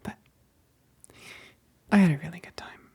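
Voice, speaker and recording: A woman playing a teasing, affectionate, wistful vampire best friend in a soft, breathy whispered ASMR roleplay, speaking hesitantly. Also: close to an everyday voice. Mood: warm, relaxed. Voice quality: breathy, husky whisper with gravelly edges. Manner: slow, hesitant, punctuated with soft chuckles.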